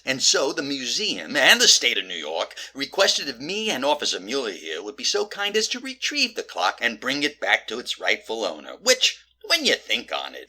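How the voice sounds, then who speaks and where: An actor playing a sarcastic, exasperated police detective in a comic audio drama, with wry, theatrical emphasis. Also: male voice, mid-range voice, sharp tone, heavily acted.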